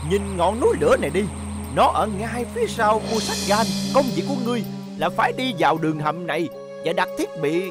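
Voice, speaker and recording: Animated, scheming old cartoon villain. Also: male voice, high voice, fairly quick, loud music behind the voice. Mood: commanding. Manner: grandiose, menacing, scolding. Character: scheming, hot-tempered older male villain boss. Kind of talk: dubbed cartoon acting.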